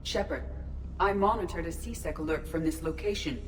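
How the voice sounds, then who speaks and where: Low, composed female AI, acted by a woman. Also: normal speed, background noise, natural voice. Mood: calm, analytical. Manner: formal, precise. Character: composed, formal, helpful female artificial intelligence. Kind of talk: video game voice acting. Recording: music under the voice.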